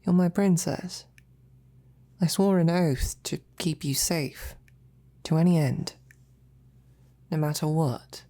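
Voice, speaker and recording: A woman playing a devoted, flirtatious female knight in an intimate roleplay, with a breathy, husky, often whispering voice and courtly phrasing. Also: low voice, slow, pauses often, mellow. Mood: reassuring, earnest. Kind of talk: intimate roleplay audio, ASMR.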